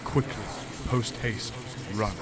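A man voicing a strange captive creature in a sci-fi horror drama, halting and piling up synonyms as it speaks. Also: lowish voice, steady tone, a loud crowd. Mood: pleading, urgent. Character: strange sentient otherworldly captive creature speaking through translator. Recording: loud music behind, slightly distant.

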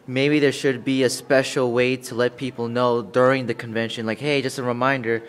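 A man's voice: medium pitch, flat delivery, normal speed. A man in an audience giving casual, conversational feedback. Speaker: audience member filming for YouTube.

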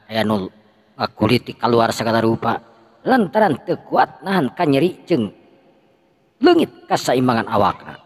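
Man, sundanese accent, low voice, storyteller, long reverb. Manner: lively, with shouted sound effects. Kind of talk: Sundanese folk tale narration.

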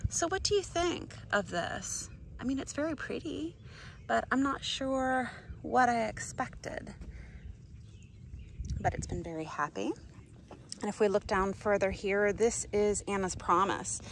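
A woman giving a casual, unhurried tour of her potted roses, talking as she films on a pier. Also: mid-range voice, vocal fry. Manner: slow, relaxed, thinking aloud with fillers. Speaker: gardening channel host. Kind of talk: casual walking garden tour commentary. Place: outdoors on a wooden pier over open water. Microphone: handheld phone camera, speaker off screen. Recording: outdoor phone recording with ambient noise. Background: outdoor ambience.

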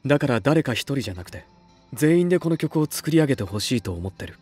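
A male actor playing an earnest, soft-spoken young record label head in an anime voice drama, speaking in a measured way. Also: medium pitch, sing-song, husky, faint music behind. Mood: earnest, reflective.

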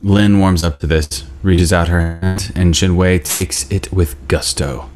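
A man's voice: deep voice, fairly even intonation, a little slow, a little mellow, faint music behind. A man in his thirties reading stage directions as scripted narration at a table read. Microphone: video call.

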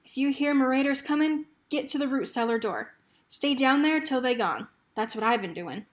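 A woman's voice: high-pitched, lively intonation, moderate pace. A woman voicing a loving, devout mother from a storybook, with tender, folksy rural speech. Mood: tender, cautioning.

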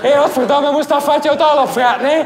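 A man with a Flemish accent playing an overbearing, teasing neighbour in a stand-up bit, shouty, repetitive and needling. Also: extremely high pitch, brisk, some chatter behind. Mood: relentless, excited. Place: large theatre stage.

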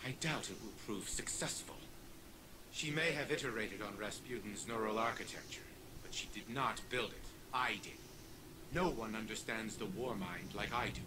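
A man's voice: fairly low pitch, noisy background, close to an everyday voice. A man voicing an arrogant old male scientist who lives on inside a robot frame, in a video game cutscene, speaking in a cold, condescending, self-assured way.

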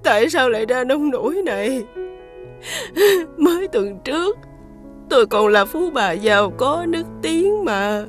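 A woman's voice: sits high, expressive, unhurried, faint music behind. A woman playing a distressed, weary mother fallen from riches in a fairy-tale cartoon, plaintive. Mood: bitter, sorrowful.

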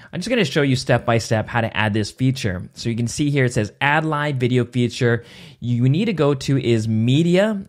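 A man in his thirties hosting a screen-recorded software tutorial, explaining step by step in a casual way, recorded in a small home studio on a boom mic. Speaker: tutorial host. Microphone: boom mic on arm near face.

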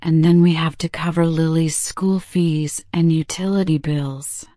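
A woman reading a gentle grieving grandmother. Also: deep voice, little pitch movement, measured pace, dry recording, clean studio sound, pitched below the performer's own voice. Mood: thoughtful. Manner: soft, gentle speech.

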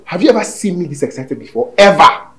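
A man's voice: medium pitch, expressive, moderate pace, a bit bright, soft background music, natural voice. A man playing an exuberant, persuasive businessman boss with a Nigerian accent in a screen drama. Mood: overjoyed.

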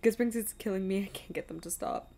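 A woman's voice: mid-range voice, fast talker, creaky, warm tone. A woman streaming a video game with casual commentary, thinking aloud in a self-deprecating, exasperated way.

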